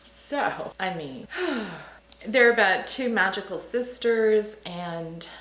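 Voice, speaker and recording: A woman's voice: medium pitch, lively intonation, very slow, fairly bright tone, a little hiss or hum. Woman, 40s, from Serbia; casual book vlogger, enthusiastic, laughs a lot; roomy echo. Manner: chatty, enthusiastic, laughs often. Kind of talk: casual book haul chat.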